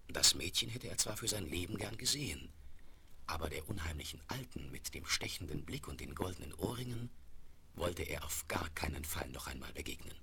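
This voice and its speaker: A man's voice: low voice, creaky, heavily acted. A man narrating a scripted children's story as the narrator of a 1970s radio play. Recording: noisy, clicking vinyl record rip.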